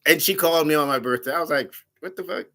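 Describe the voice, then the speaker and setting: Man, big loud voice, animated storytelling chat. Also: a little high, fast, a little hiss or hum. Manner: animated, laughing, quotes himself in raised voice. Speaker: dating show guest.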